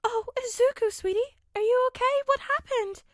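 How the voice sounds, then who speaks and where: A woman voicing a caring, gently fretful mother who dotes on her teenage son in a story reading. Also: very high-pitched, moderate pace, no room sound, clean studio sound, voice pushed up. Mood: worried. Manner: gentle, doting.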